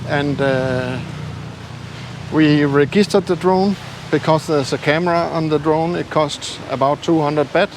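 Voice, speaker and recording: An older man with a non-native English accent, talking casually and haltingly to viewers in a self-deprecating way as a travel vlogger. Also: fairly high pitch. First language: not English. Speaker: travel YouTuber. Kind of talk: casual vlog talk to camera. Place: outdoors. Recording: noisy outdoor street ambience with traffic.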